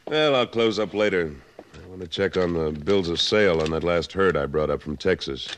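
A man playing a tough, steady cattleman and war veteran in an old-time radio western, firm and terse. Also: low voice, moderate pace, background noise.

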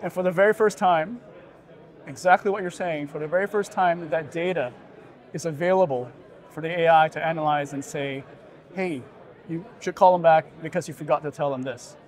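A middle-aged man giving an assured, agreeable and well-structured conversational pitch as an interview guest. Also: fairly quick. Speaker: startup founder being interviewed. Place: busy indoor expo hall booth.

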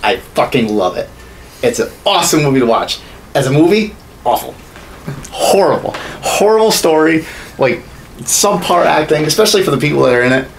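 A man's voice: a little high, sing-song, a little fast, vocal fry, noisy background. A man in his thirties chatting casually, profane and ranting as a podcast co-host in a small room. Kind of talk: casual opinionated chat about films. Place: small home studio room, indoors.

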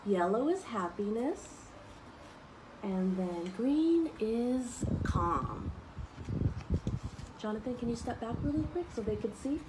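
Young lady doing story time for little kids, really lively high voice, noisy outdoor camera audio. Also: slow, pauses often. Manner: expressive, simple explanations aimed at young children. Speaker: story time reader for children. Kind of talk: children's picture book read-aloud with asides. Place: outdoors on a wooden deck among trees.

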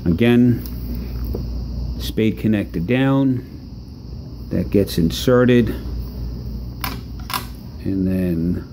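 A man talking through a hands-on repair demonstration in a relaxed, halting way with many fillers, recorded off-camera at an indoor workbench. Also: fairly low pitch, a little hiss or hum. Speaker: product demonstrator.

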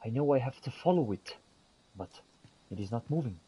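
A man's voice: medium pitch, lively intonation, normal speed, dark tone, slightly rough, low-quality recording. A man role-playing aloud as he plays a fantasy game, mixing archaic theatrical phrases with casual asides. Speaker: gameplay streamer role-playing. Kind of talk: in-character role-play commentary on a fantasy game.

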